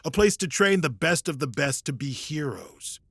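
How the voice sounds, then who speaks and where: A man playing a weary, middle-aged retired hero in a fantasy audio drama, earnest and solemn in his measured speeches. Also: on the high side, animated, a bit bright, slightly rough, dry recording, clean studio sound, exaggerated voice. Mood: weary, resolute.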